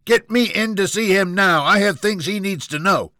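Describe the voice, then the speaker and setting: Man acting a bellowing, entitled hothead, pitched high. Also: moderate pace, bright, forceful tone, dry recording, studio quality. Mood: furious, demanding. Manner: bellowing, demanding, pompous. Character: hot-tempered entitled president's son, a screaming arrogant man.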